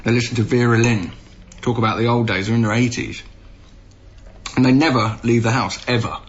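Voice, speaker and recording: An actor playing an earnest, harried young British council housing officer in a naturalistic radio drama. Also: male voice, mid-range voice, steady tone, fairly quick, breathy edge, close to an everyday voice. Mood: wry, weary. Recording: muffled radio recording, noisy ambience.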